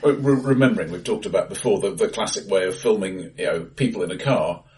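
Man, deep voice, dry podcast co-host, halting, recorded off-mic in a bright room. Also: recorded from a distance. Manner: hesitant, many restarts, dry understated humour. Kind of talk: casual film discussion. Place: acoustically bright room, face to face.

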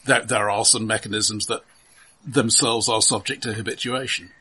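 A man's voice: on the low side, fairly even intonation, moderate pace, a crowd in the background. A man in a conversational film discussion, explaining analytically and repeating words as he thinks. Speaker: podcast host.

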